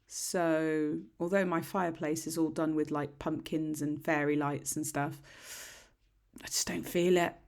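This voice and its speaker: A middle-aged woman with a husky, slightly croaky voice, chatting casually through a nail tutorial in a rambling, self-deprecating way. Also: low-pitched, level tone, measured pace, a little mellow. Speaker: nail technician. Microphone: desk microphone.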